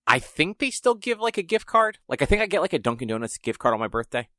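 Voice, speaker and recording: A man's voice: fairly high pitch, big pitch swings, fast talker, bright, forceful tone, no room sound. A man co-hosting a podcast in casual chat, matter-of-fact with a dry, teasing manner. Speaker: podcast co-host.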